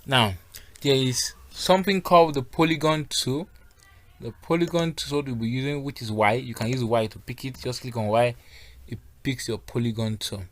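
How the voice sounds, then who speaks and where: A man giving a step-by-step software tutorial as a design instructor, talking instructionally and often checking that learners are following along. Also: medium pitch, moderate pace, slight background noise.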